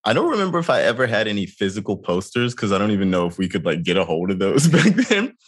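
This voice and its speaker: A man's voice: on the low side, very animated, quick pace. A man in his thirties chatting casually as a podcast co-host, hesitant, with many restarts and fillers. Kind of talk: casual chat.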